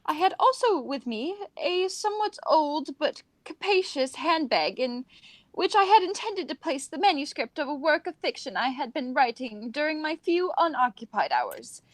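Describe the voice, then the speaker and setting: A prim spinster governess played by a woman with a high, very animated voice. She is proper, earnest and easily flustered, in radio play style, recorded close and dry. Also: normal speed, no room sound. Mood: ashamed, confessing.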